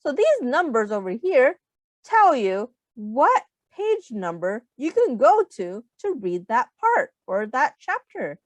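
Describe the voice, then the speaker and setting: A woman with a high, hugely varied voice reads aloud to young children. She teaches as she goes, taking her time, explaining in simple terms and putting questions to the listeners. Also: takes it slowly, no echo. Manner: instructive, expressive, addressing young children with questions.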